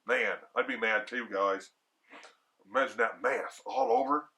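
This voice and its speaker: A man's voice: lowish voice, measured pace, pushed and bright. A man reading a story aloud theatrically as a storyteller, with casual, slangy, chatty asides. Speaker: storyteller, horror show host.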